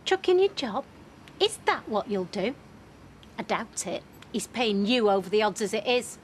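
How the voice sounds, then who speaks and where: A woman's voice: a little high, sing-song, moderate pace, slight background noise. An actress playing a challenging, sceptical woman with a probing manner. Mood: goading. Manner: probing, goading. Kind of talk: television soap acting.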